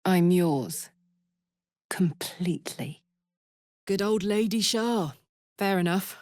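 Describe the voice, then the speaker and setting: A tough tiefling warrior woman from a fantasy video game. She is brash and slangy but warm-hearted and sincere, and she delivers her lines at an unhurried pace. The sound is dry, with no room around it. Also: lowish voice, animated, no echo. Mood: sincere, easygoing. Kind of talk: video game character voice acting.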